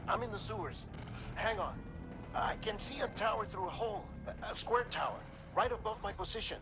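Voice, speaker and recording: An actor playing an anxious, lonely middle-aged scientist in a cinematic video game, with a nervous, hesitant, emotionally exposed delivery. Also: male voice, sits high, a little fast, music in the background, natural voice. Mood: hopeful.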